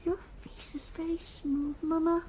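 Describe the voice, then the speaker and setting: A woman's voice: very high-pitched, level tone, unhurried, mellow, slight background noise. An actress playing an insistent, outspoken little girl who is possessive of her mother, in an audio drama. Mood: hushed, curious.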